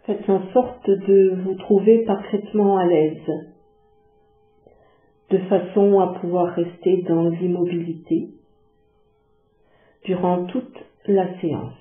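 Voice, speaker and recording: A woman leading a guided yoga relaxation, giving calm, measured instructions with long pauses. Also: fairly low pitch. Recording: muffled phone-like sound, echoey, music behind.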